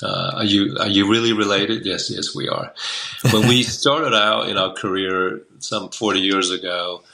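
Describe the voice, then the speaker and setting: A man in his sixties from Taiwan speaks over a video call from a room at home. His voice sits low yet sounds bright and forceful. He rambles easily, circling back with fillers and restarts. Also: steady tone, a little slow, vocal fry. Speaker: guest, Chinese medicine doctor. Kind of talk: casual interview talk. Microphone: video call webcam.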